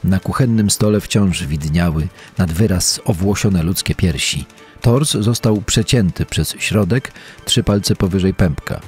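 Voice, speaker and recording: An actor playing a clinical coroner reading a grim report, detached and measured, with a dark, husky voice. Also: male voice, deep voice, soft background music. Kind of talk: true-crime audio dramatization.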